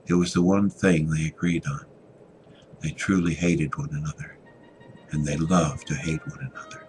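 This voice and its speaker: A man's voice: very deep voice, wildly swooping pitch, moderate pace, over music, poor audio. A man narrating a short story as a dramatic reading, with a theatrical delivery.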